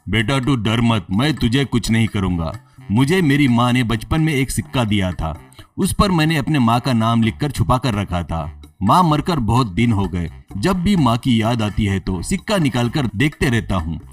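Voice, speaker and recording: A man's voice: medium pitch, lively intonation, normal speed, distant mic, faint music behind, pitched below the performer's own voice, natural voice. A man voicing a feared but sentimental gangster don who talks like a fatherly boss in a storybook tale. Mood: sentimental, reassuring. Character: feared male gangster don, sentimental about his mother.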